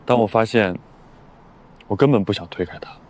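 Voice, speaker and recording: A man playing a controlled, possessive young boss in love in an animated romance drama, speaking gravely and earnestly. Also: lowish voice, normal speed, a little hiss or hum, natural voice. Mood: confessional.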